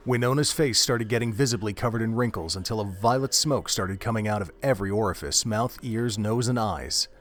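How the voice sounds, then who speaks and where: A man narrating a gruesome horror audio drama in a measured, dramatic storytelling manner. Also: on the low side.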